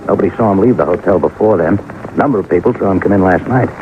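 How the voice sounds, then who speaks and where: An actor playing a grim police sergeant in a 1950s radio drama, reporting in terse, deadpan fragments. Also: male voice, low-pitched, fast talker, close to an everyday voice. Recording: noisy narrow-band old radio recording.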